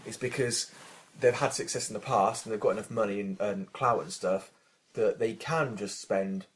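A man's voice: lowish voice, moderate pace. A British man co-hosting a podcast in casual chat, rambling with many restarts and fillers. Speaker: podcast co-host.

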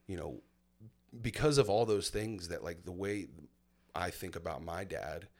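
A man in his thirties with a very low, deep voice talks through his own past in a relaxed conversation. He sounds candid and reflective, with plenty of "um"s and pauses as he tells it. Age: 30s. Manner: candid, reflective storytelling with many fillers. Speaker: guest sharing his testimony. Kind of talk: casual personal conversation.

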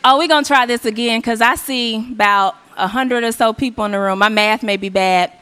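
A hoarse woman from Arkansas, hosting a live event on a stage mic and rallying the audience with call and response. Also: a little high, a little fast. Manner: energetic, rallying the crowd. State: hoarse, losing her voice. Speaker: event emcee. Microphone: handheld stage mic. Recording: live hall with faint audience.

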